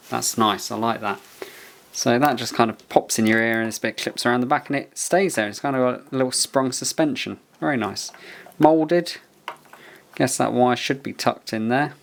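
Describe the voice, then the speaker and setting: A man talks through a product in a casual, unscripted way, thinking out loud as he goes. His voice sits at an average pitch, and there is noticeable background noise with small clicks from handling. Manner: musing aloud while inspecting items. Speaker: product reviewer. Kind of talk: casual unboxing and review commentary.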